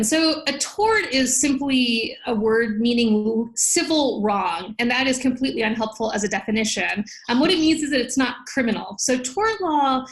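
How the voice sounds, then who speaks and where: Higher-voiced American woman explaining things. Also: poor audio. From United States. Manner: articulate, organized, explains in plain examples. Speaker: law professor, podcast guest. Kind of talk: explanatory interview answers.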